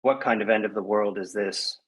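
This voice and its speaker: A man speaking as a guest poet in a measured, reflective, slightly hesitant way, as at a reading or interview. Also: fairly low pitch, steady tone, breathy edge. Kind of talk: poetry reading and conversational interview.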